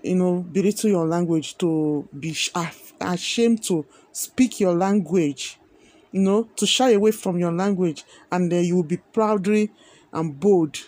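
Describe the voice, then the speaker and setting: A man's voice: high-pitched, normal speed, a little mellow. A man with a Nigerian accent giving earnest opinionated commentary, repeating himself with many fillers. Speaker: video commentator.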